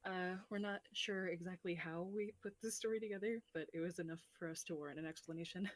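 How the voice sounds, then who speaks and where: A woman's voice: on the low side, quick pace. A woman co-presenting, giving a scripted explanation to open a roleplay video. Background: music.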